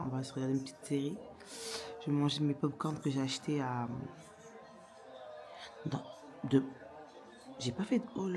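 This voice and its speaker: Young woman vlogger with a stammer. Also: low-pitched. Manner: halting, repeats and restarts words. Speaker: lifestyle vlogger, mother of young children. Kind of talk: casual chat to camera.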